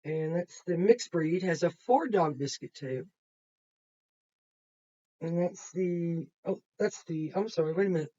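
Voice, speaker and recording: A woman's voice: fairly low pitch, unhurried, long pauses, warm tone, muffled recording. A woman kindergarten teacher reading a picture book aloud to young children and explaining it simply as she goes.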